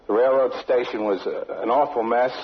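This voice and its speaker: A man's voice: mid-range voice, steady tone, normal speed. A man giving an unscripted eyewitness recollection in a hesitant, conversational way. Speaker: eyewitness recounting wartime memories. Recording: dull old radio recording, faint noise.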